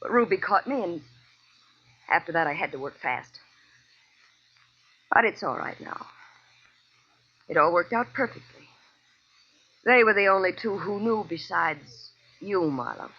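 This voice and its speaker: A woman's voice: lowish voice, expressive, unhurried, pauses often, husky, exaggerated voice. An actress playing a cold, self-possessed female killer, a scheming femme fatale in a 1940s radio noir drama. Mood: coldly triumphant. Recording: muffled old-time radio recording.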